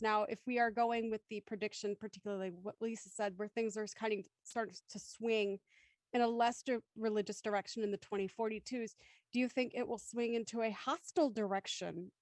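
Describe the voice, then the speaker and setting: A woman's voice: medium pitch, dry recording. Thoughtful woman moderating, close dry sound. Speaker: discussion moderator. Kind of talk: panel discussion, posing questions.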